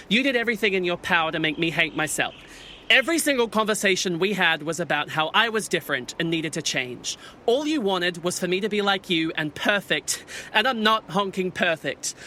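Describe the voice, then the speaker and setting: A man's voice: high-pitched, lively intonation, brisk, sharp tone, slight background noise. A young man playing an anxious, hurt misfit swan in a modern audio drama, speaking in a hesitant, conversational way. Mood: hurt, defiant. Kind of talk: audio drama acting, naturalistic modern dialogue.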